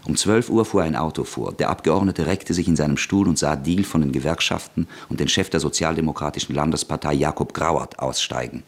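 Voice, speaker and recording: A man narrating a literary radio play as a scripted storyteller, with a husky voice. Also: very deep voice, fairly quick, hardly any pauses.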